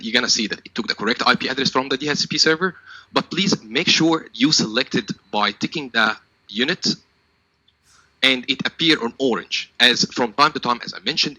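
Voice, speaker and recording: A man's voice: medium pitch, pushed and bright, slightly rough, no room sound, rough recording. A man working as a technical trainer, giving a step-by-step product tutorial in a methodical, instructional manner.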